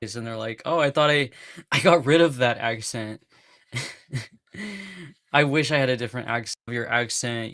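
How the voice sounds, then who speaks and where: A man's voice: medium pitch, fairly bright tone, no echo, studio quality. A man from California chatting casually on a livestream, rambling and breaking into nervous laughs. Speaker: streamer.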